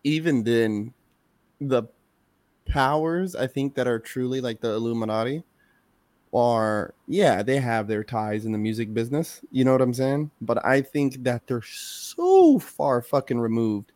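A man's voice: mid-range voice, expressive, unhurried, darkish tone, dry recording. A man co-hosting a podcast, arguing his points emphatically and checking in with "you know what I'm saying?". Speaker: podcast co-host. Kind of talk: casual chat.

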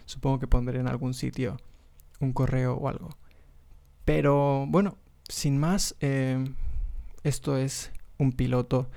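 A young man from Mexico with a Spain Spanish accent talks into a podcast microphone in a casual solo monologue. His voice is warm and dark, and his intonation stays fairly flat. He pauses often with fillers and restarts. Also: medium pitch, vocal fry. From Mexico (Monterrey). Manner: relaxed, hesitant, with many fillers and restarts. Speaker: podcast host.

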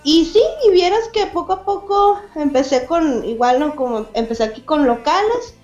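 Chatty high-voiced woman on a call. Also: lively intonation, moderate pace, a little mellow, echoey room, dull audio, soft background music. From Mexicali, Baja California, Mexico. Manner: chatty, many fillers and restarts, laughs often. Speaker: guest, cartoonist and event organizer. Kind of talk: casual interview chat.

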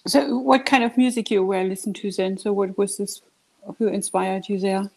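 A woman of middle pitch asks interview questions in an easy, conversational way. Her voice sits in an ordinary mid range, and she asks about her guest's background in a friendly tone. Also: steady tone, moderate pace, gravelly, darkish tone.

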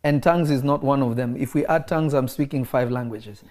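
A man who grew up in Kenya talks about faith in an easy conversational way. He speaks with a preacher's emphasis, circling back to repeat his main lines. His pitch sits at an ordinary middle level. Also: a little fast, darkish tone. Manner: emphatic, repeats key phrases.

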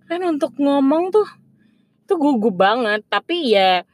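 A young woman co-hosting a casual podcast chat, in relaxed slang with English words mixed in. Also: high voice, a little slow. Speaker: podcast co-host.

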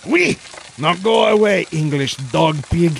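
Haughty Frenchman, French accent, high creaky voice, sneering insults, comedic radio play. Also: animated, fairly bright tone, slight background noise, heavily acted. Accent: put-on French. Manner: scornful, drawn-out sneering insults. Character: haughty, insult-slinging nineteenth-century Frenchman guarding a caravan. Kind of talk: 1940s-style comedic radio play acting.

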